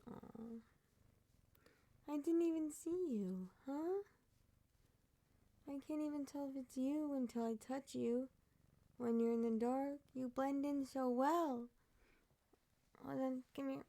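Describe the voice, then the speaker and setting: A woman in her thirties with a fairly high voice, talking in an unhurried, rambling way. She chats casually to her stream between asides. At times she softens to a gentle murmur. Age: 30s. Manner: rambling and chatty, with frequent laughs and asides; drops to a soft murmur when talking to her pet. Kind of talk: casual stream chat reacting to a true crime case.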